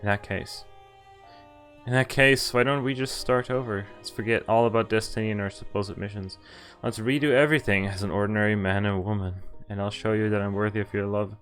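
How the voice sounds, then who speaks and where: A man's voice: on the low side, steady tone, a little fast, a bit bright. A man narrating visual novel text aloud as a game streamer, occasionally stumbling over words as he reads. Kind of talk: read-aloud story narration. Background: game music.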